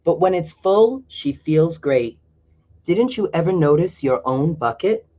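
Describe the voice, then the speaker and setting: A man doing a gentle, teasing grandpa. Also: on the high side, animated, unhurried. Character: kind old grandfather. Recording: dull lo-fi recording.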